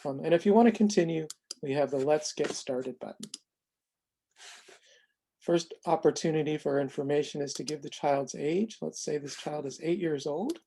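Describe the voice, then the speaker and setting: Mellow, measured presenter walking through a demo. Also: mid-range voice, slight background noise. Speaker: presenter demonstrating a software tool. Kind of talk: semi-scripted explanatory demo narration.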